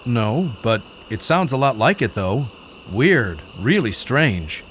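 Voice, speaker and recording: A man's voice: mid-range voice, sing-song, slow, a bit bright. An actor playing an eager, chatty, awkward underling, deferential to his superior. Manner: deferential, hesitant, rambling. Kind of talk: audio drama acting. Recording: noisy night outdoors ambience, chirping crickets.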